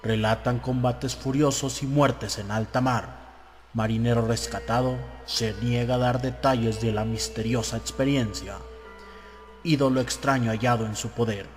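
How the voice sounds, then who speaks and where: Male audiobook narrator, mid-pitched voice, dramatized news-report reading, long hall reverb, music underneath. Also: steady tone, normal speed.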